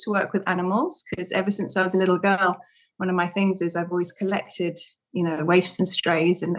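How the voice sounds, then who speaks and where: A woman in her fifties, raised in Singapore and Indonesia, talking gently and reflectively as a podcast guest, often restarting her sentences. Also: medium pitch, rough recording. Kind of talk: casual interview talk.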